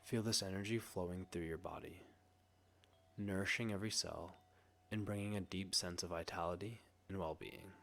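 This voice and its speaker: A man leading a scripted guided meditation, speaking gently and soothingly in a hushed way with long pauses. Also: low-pitched, fairly even intonation, gravelly.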